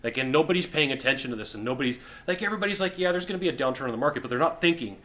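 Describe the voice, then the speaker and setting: Middle-aged guy coaching sales from his couch, bright forceful voice, punchy and repetitive. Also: mid-range voice, expressive. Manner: emphatic, repetitive, uses quick acted-out asides. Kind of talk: direct-to-camera advice talk. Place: indoor living room on a sofa.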